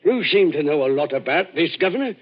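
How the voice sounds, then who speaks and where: An actor playing a blunt, loyal working-class theatre attendant in a 1940s radio drama, talking gruffly in slang. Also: male voice, on the high side, sing-song, a little fast. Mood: suspicious. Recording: dull old radio broadcast recording.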